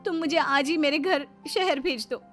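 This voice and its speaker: A woman's voice: high-pitched, moderate pace, fairly bright tone, soft background music, pitched above the performer's own voice. A woman voicing an outspoken, indignant young wife in a cartoon story, argumentative and sarcastic. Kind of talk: Hindi cartoon story dialogue.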